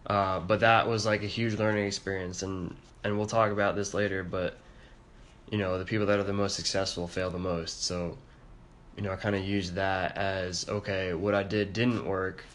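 A soft-spoken, introverted man telling a story in a casual podcast chat, hesitant, with many fillers. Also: sits low, little pitch movement, normal speed, slight background noise. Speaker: podcast co-host.

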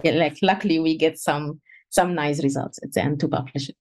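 A young woman from Egypt, a researcher answering interview questions in a halting way, with many fillers and restarts. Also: low voice, steady tone, a little mellow. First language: Egyptian Arabic. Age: young adult. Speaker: guest researcher.

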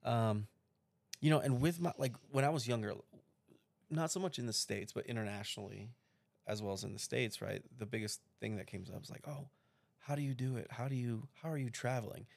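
A man in his thirties co-hosting a casual chat, relaxed and rambling with restarts. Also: mid-range voice, a little fast, creaky, no room sound. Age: 30s. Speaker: podcast co-host.

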